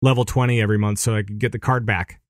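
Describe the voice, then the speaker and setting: A man's voice: fairly low pitch, fairly even intonation, racing, no echo, studio quality. A man hosting a podcast, chatting casually in a rambling, joking way. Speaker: podcast host.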